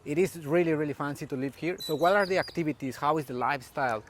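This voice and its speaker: A man's voice: on the high side, fast, background noise. A Spanish-speaking man hosting a casual walking interview outdoors, talking in a chatty way with many restarts. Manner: chatty, restarts and switches into English. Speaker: realtor and vlog host. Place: outdoors on a residential street.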